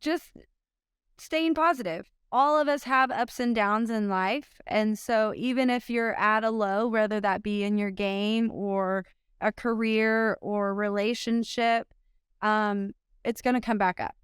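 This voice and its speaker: A woman in her thirties from Oklahoma, a pro disc golfer, answering interview questions in an upbeat, chatty way with frequent ums and quick laughs. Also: a little high, fairly even intonation, smooth, no room sound, clean studio sound.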